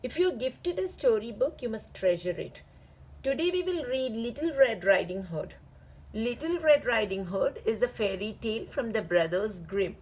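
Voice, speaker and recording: Female children's story narrator, very animated and higher-pitched, deliberate drawn-out phrasing. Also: slightly rough, low-quality recording. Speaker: read-aloud channel host.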